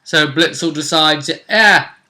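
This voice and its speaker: A man co-hosting a casual recap of a tabletop game as game master, telling it in a measured storyteller's way with pauses. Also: a little high, pushed and bright.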